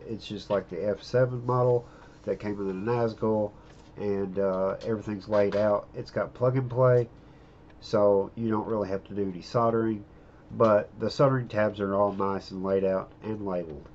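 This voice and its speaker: A man's voice: lowish voice, normal speed, dull audio, slight background noise. A middle-aged man giving a casual, plain-spoken review of drone parts outdoors, speaking close to a handheld camera. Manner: relaxed, plain-spoken hobbyist talk with fillers. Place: outdoors in an open field.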